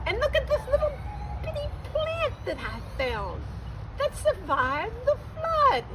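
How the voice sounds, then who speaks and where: A woman storyteller plays a small, tenacious female muskrat in a children's legend. The voice is high and swings eagerly, proud and emphatic. It sounds slightly distant from the mic. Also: wildly swooping pitch, slow pace, a bit bright, exaggerated voice. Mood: proudly excited. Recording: distant mic, noisy background.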